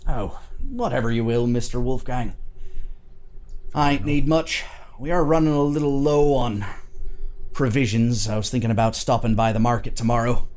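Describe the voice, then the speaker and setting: A man voicing a polite, gentle, folksy male household servant in a tabletop game, deferential and a little halting. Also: medium pitch, steady tone, lightly acted. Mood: obliging. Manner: deferential, halting, kindly encouragement.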